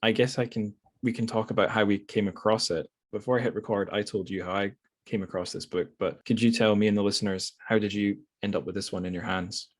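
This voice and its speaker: A man with a low voice hosts a relaxed podcast conversation about books. He thinks aloud as he goes, with loose, slightly hesitant phrasing. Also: fairly quick. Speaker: podcast host. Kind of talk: casual book discussion interview.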